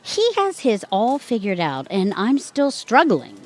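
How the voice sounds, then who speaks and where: A woman's voice: medium pitch, wildly swooping pitch, unhurried, a little mellow. A woman playing a stubborn, flighty, new-age middle-aged mother in an audio comedy, with theatrical emphasis. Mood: cheerfully stubborn.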